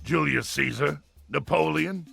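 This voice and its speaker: A man playing a bossy, blustering older army colonel with a gruff, folksy way of talking. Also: a little high, a little slow, fairly bright tone, soft background music, full character voice. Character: blustering older male army colonel, cigar-loving commander. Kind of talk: video game voice acting.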